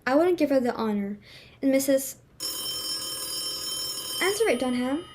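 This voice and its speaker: A woman's voice: high-pitched, animated, a little slow, darkish tone. A woman playing a fussy, snobbish middle-aged society hostess and mother in a play reading, with a theatrical, exclamatory, fretful manner. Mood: harried, exasperated.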